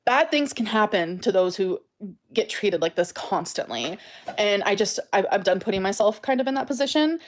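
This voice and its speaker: A woman's voice: medium pitch, animated, moderate pace. A woman speaking casually to her followers in a personal update, emphatic and repeating herself for stress. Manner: emphatic, repetitive. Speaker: YouTuber.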